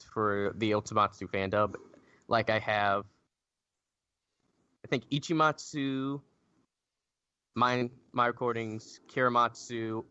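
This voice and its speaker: A man chatting casually as a podcast guest over a low-fidelity internet voice call. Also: sharp tone, no room sound, highs cut off. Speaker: podcast guest, fan dub editor. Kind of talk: casual chat.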